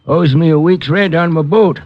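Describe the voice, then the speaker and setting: A man playing a terse, deadpan old boat landing keeper in a radio drama. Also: fairly high pitch, moderate pace, slight background noise, natural voice. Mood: laconic. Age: older. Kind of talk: 1950s radio acting. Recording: dull old radio recording.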